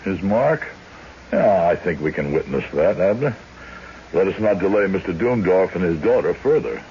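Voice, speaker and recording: An actor playing a grave, genteel county clerk in a radio drama, speaking in a measured, formal, portentous way. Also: male voice, low-pitched, animated, dark tone. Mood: courteous. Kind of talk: old-time radio drama acting and narration. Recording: dull, hissy radio drama recording.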